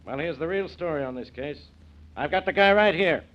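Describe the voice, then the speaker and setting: An actor playing a short-tempered, blustering police inspector in a 1940s radio drama, with an emphatic delivery. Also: male voice, a little high, a little fast, natural voice. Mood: gloating, triumphant. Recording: dull old 1940s radio recording.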